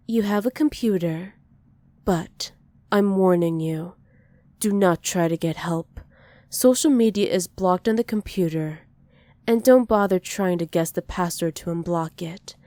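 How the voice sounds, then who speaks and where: A woman playing a dominant, possessive villain who dotes on a captive, softly menacing and teasing in an ASMR roleplay. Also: fairly low pitch, no room sound, natural voice. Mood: brisk, warning. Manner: soft, teasing menace with breathy whispered endearments.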